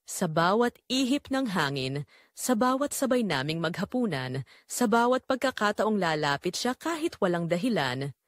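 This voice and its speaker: Lively Filipino woman narrating a story. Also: mid-range voice, dry recording, studio quality. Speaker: narrator of an audio drama. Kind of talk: scripted first-person story narration.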